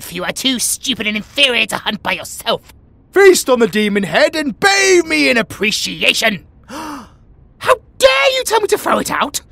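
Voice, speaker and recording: A man's voice: very high-pitched, creaky, bright, forceful tone, no echo, exaggerated voice. A British man voicing a bratty, self-important tiger warrior in a comedic audio roleplay, haughty, demanding and full of theatrical exclamations.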